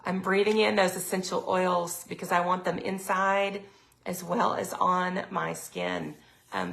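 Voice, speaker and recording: A middle-aged woman, chatting casually on a live sales stream, her throat a little raspy from allergies. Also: fairly low pitch. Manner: chatty, lots of ums, reads viewer comments aloud. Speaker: product consultant hosting a live sales stream.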